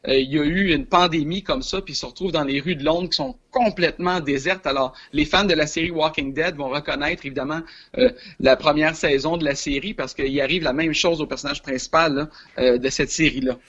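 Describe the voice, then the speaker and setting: A man's voice: fairly high pitch, a little fast, a bit bright, highs cut off. A man in his forties with a Quebec French accent, a film columnist chatting on the radio, hesitant with many filler sounds. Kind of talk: casual radio chat.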